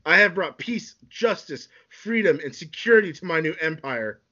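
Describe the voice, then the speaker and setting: A furious young warrior turned villain, acted out by a man, pitched high and pushed forward with a bright, forceful edge. He declaims every accusation melodramatically, as in a homemade radio play, on a slightly dull recording. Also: animated, moderate pace. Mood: defiant, menacing. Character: furious, betrayed young male warrior turning to evil.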